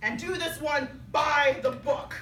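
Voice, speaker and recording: A woman's voice: high voice, takes it slowly, fairly bright tone. A woman playing an exasperated, sarcastic, scolding police captain in a staged reading. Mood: sarcastic, brisk. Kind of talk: staged reading before an audience. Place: live theater stage before studio audience. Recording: echoey stage, distant mic, noisy room.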